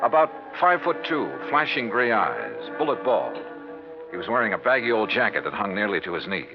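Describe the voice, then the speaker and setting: A man's voice: on the low side, big pitch swings, fairly quick. An American actor playing a wry male insurance investigator in a 1950s radio detective drama, telling his story in a clipped, colorful way. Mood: wry narration. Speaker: lead actor and narrator. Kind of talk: 1950s radio detective drama, first-person narration and dialogue. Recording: dull vintage radio recording, music.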